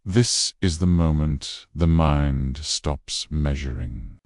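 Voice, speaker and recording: A man narrating a bedtime sleep meditation in a slow, hushed, gentle voice that trails off softly. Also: rumbling low, no room sound, studio quality. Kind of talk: soothing scripted bedtime narration.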